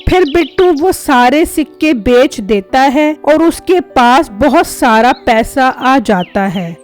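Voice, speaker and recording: A woman's voice: high voice, animated, slow, faint music behind. A woman reading scripted narration for a cartoon story.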